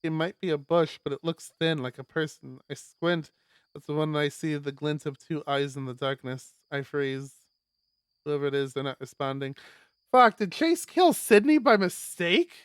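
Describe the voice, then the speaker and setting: A man streaming a live read-aloud of a visual novel, expressive, with stumbles and casual asides. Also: fairly high pitch, moderate pace, clear, resonant tone, no room sound. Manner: expressive, stumbles over words while reading.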